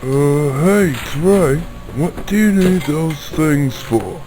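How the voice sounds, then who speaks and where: A man's voice: fairly high pitch, expressive, takes it slowly. A man playing a casual, curious, slightly hesitant shopkeeper in an amateur audio drama. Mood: laid-back, casual. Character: casual, curious shopkeeper handing over odd supplies. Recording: basic home recording, loud music behind.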